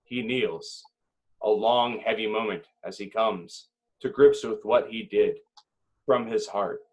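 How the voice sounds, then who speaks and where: A man reading screenplay directions as dramatic narration at a table read, with deliberate phrasing and short pauses. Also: on the low side, a little mellow, poor audio.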